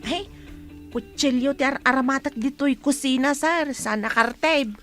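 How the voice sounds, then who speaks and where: A flustered, obedient housemaid in a radio comedy-drama. Her voice is very high and deferential, with some music underneath. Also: moderate pace, a bit bright.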